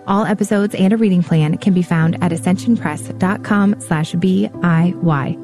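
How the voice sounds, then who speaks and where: A woman announcer reading scripted show credits and an introduction in a measured way. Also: on the low side, faint music behind. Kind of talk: scripted narration.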